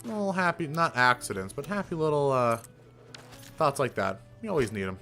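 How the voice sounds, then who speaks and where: A young man streamer chatting casually, rambling with fillers and casual swearing, with a fairly deep voice. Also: animated, fairly quick, pushed and bright, faint music behind. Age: young adult. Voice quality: somewhat deep voice. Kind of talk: casual live-stream chat.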